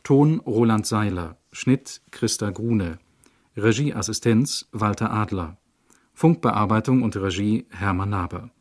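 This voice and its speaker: Slow, low-pitched male radio announcer. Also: darkish tone. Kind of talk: formal scripted credits reading.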